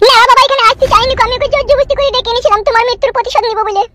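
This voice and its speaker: A woman's voice: extremely high pitch, fairly even intonation, very fast, heavy background noise. A woman voicing a sly, pleading wife in an amateur comedy cartoon, wheedling and defensive. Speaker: cartoon voice actor.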